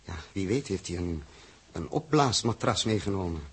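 Level-headed space captain, low husky voice, firm, brisk, decisive, 1960s radio drama. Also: male voice, animated, heavily acted. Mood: reasoning.

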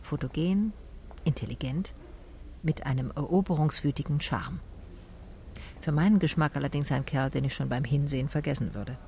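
A woman's voice: low-pitched, normal speed, mellow, a little hiss or hum. An actress playing a sober private detective who narrates her case in a radio drama, in laconic, observant short sentences.

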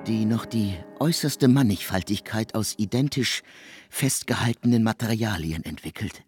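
An actor playing an intense, exacting middle-aged composer in a literary radio play, speaking emphatically and precisely. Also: male voice, on the low side, normal speed, darkish tone, husky, faint music behind. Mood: fervent, exacting.

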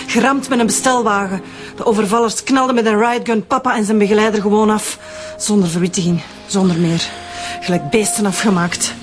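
A woman's voice: medium pitch, close to an everyday voice. An actress with a Flemish accent playing a young woman who killed to avenge her murdered father, speaking bitterly and defiantly in a radio drama.

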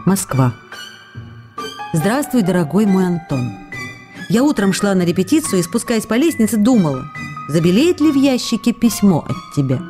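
A woman's voice: mid-range voice, big pitch swings, moderate pace, natural voice. An actress reading a passionate young actress's letters to her husband in a radio play, ardent and reproachful by turns. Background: music.

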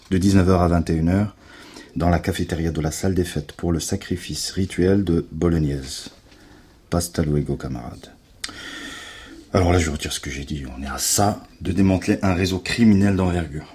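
A man's voice: low-pitched, dark tone, breathy edge. A man playing a wry, talkative, reluctant investigator in a naturalistic audio drama, mocking and grumbling. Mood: mock-solemn. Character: sarcastic, hungry, reluctant male investigator, grumbling sidekick. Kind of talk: naturalistic French audio fiction acting.

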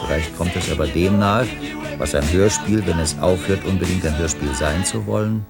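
Deep, gravelly, pedantic ponderer. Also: male voice, normal speed, loud music behind the voice. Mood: dry, reflective. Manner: dry, circling repetition. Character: man earnestly pondering what a radio play is. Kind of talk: experimental radio play narration.

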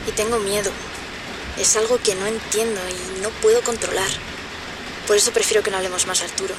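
A woman's voice: medium pitch, fairly even intonation, a little fast, noisy background, close to an everyday voice. An actress playing a guarded, anxious woman with an accent from Spain in a radio drama. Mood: fearful, guarded. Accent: Spanish, from Spain. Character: guarded, fearful woman afraid of love.

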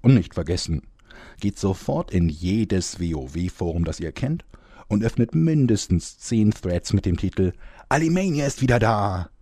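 A man's voice: fairly low pitch, expressive, measured pace, warm tone, breathy edge, full character voice. A man playing the tongue-in-cheek announcer of a comic radio serial, narrating from a script. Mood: cheerful sign-off.

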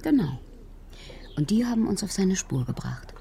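A woman's voice: mid-range voice, big pitch swings, normal speed, gravelly, mellow, a little hiss or hum. An actress playing a self-assured, teasing female investigator with a dry, matter-of-fact manner in a German radio crime drama. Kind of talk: German radio play dialogue.

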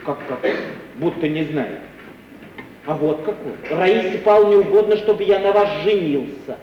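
A muffled, reverberant old radio recording of a brash young male dandy, acted by a man. His voice is fairly high and swings widely, whiny, insolent and pompous. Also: animated, moderate pace, recorded from a distance, a little hiss or hum, natural voice. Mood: irritated. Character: overgrown schoolboy dandy, brash, petulant, self-important. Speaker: actor. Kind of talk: 1950s Russian radio play acting.

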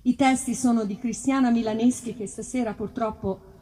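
A woman's voice: on the high side, steady tone, moderate pace, dark tone, a little hiss or hum. A woman chatting informally into a stage microphone between songs at an outdoor concert, often interrupting herself. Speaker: singer and composer presenting a choir concert. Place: live outdoor concert in an olive grove. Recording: live outdoor concert recording.

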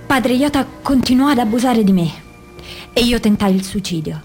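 An actress playing a female abuse survivor, giving grave testimony in measured phrases with deliberate pauses, as in radio theatre. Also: a little high, lively intonation, a little mellow, faint music behind, lightly acted. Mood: grave, sorrowful.

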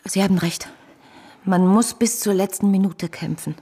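A woman in a radio drama playing a loyal, resolute sister, earnest and composed, with a husky voice. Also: mid-range voice, normal speed, mellow. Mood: earnest resolve. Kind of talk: radio drama acting and narration.

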